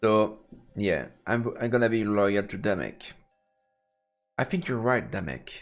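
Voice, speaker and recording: A man's voice: fairly low pitch, sing-song, a little slow, soft background music. A man doing let's play commentary on a visual novel, reading the narration aloud. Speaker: game streamer.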